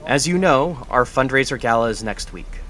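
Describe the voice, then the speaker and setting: A stern foundation director. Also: male voice, mid-range voice, animated, moderate pace, pushed and bright, a little hiss or hum. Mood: formal. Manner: stern, formal. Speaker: foundation head. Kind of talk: audio drama acting.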